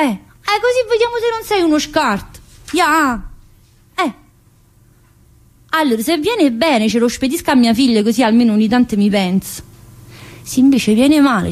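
A restless, teasing middle-aged woman inmate, talking in an earthy stream of asides and fillers. The voice sits a little high for a woman. It is a one-woman theatre monologue. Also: a little mellow. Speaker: stage actress in a one-woman play.

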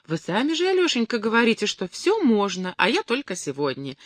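A woman voicing an excitable, childlike young circus girl in an audiobook, gushing, impulsive and affectionate. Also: on the high side, a little fast, no room sound, studio quality, voice pushed up. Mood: gushing, coaxing. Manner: breathless exclamations, affectionate, impulsive.

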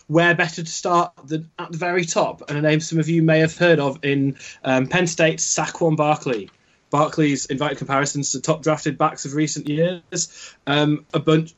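A man's voice: a little high, a bit bright. Jokey English man hosting, lo-fi sound. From Yorkshire, England. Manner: jokey, self-deprecating, filler-heavy. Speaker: podcast host. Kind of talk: casual podcast chat. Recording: dull lo-fi sound.

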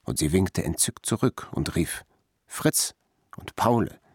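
A man narrating scripted literary prose in a measured, declarative manner. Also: deep voice, expressive, slightly rough, dry recording, clean studio sound.

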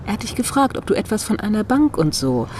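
A woman acting a cheeky, sharp-tongued friend turned amateur detective in a German radio crime drama, mocking and decisive. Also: medium pitch, big pitch swings, quick pace, slight background noise, natural voice. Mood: determined.

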